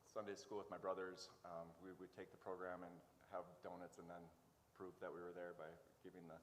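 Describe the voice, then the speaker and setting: A man being interviewed as a guest, speaking informally in a halting, hesitant way with many pauses. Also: deep voice, flat delivery. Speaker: interview guest, church worker. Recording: echoey hall, noticeable background noise.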